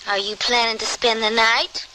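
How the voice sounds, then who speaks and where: A woman's voice: on the high side, level tone, moderate pace, natural voice. An actress playing a headstrong young frontier woman in a radio western, with blunt, scolding country speech. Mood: wary, calmer. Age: young adult. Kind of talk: old-time radio western acting. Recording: slightly noisy radio drama recording.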